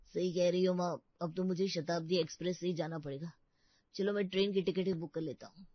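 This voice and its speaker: A woman's voice: fairly low pitch, steady tone, a little fast, no room sound, lo-fi sound, lightly acted. A woman voicing an earnest, suspicious young man in a cartoon story, urgent and indignant in manner.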